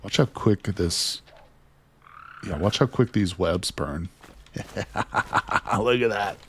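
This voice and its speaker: A man streaming a video game, chatting excitedly to his viewers as he plays, losing his voice near the end of a long session. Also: lowish voice, takes it slowly, husky. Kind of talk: casual gameplay commentary.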